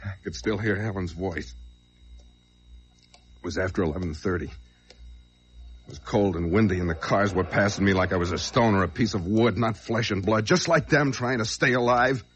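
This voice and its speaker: An actor playing a desperate, whining small-time crook on the run in an old radio crime drama, stammering, pleading and intense. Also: male voice, on the low side, moderate pace, long pauses, soft background music, lightly acted. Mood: bitter, brooding. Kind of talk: old radio crime drama, dialogue and first-person narration. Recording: noisy vintage radio drama recording.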